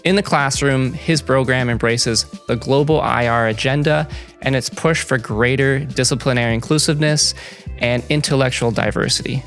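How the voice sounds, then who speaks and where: A man hosting a podcast, casually reading a guest introduction from his notes, with soft music underneath. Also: mid-range voice, gravelly. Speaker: podcast host.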